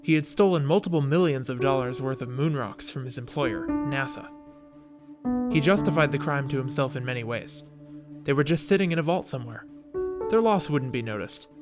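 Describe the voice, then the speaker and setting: A man's voice: medium pitch, normal speed. A man narrating a scripted video essay in a wry, casual storytelling manner, with dramatic touches. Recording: music playing behind voice.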